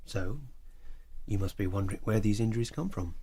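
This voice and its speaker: A man acting in an audio drama as a calm psychiatrist in his thirties, measured, questioning and probing. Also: lowish voice, a little hiss or hum, close to an everyday voice.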